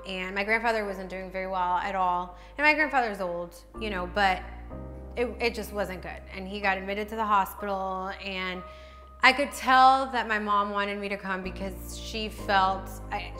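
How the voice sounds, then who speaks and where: A woman in her thirties with an American accent tells her own story candidly to camera. Her voice is bright and forceful, set in a reverberant room with music underneath. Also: medium pitch. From Dominican Republic, raised in northeastern United States. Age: 30s. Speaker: professional bikini fitness competitor. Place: large open indoor living area with kitchen. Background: background music.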